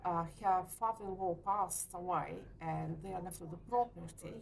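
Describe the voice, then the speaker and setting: A woman in her forties from Lithuania, speaking English with a Lithuanian accent, answering interview questions hesitantly with many pauses. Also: on the low side, vocal fry. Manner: hesitant, frequent uh pauses, light laughs. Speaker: letting agency owner. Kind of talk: business interview. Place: hotel meeting room.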